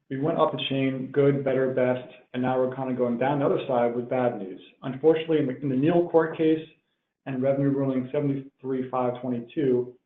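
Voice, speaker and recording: A man presenting a technical webinar in a measured, explanatory way, as if working through prepared points. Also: mid-range voice. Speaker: webinar presenter, tax professional. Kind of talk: explanatory tax webinar lecture. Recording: tinny phone-like line, roomy echo.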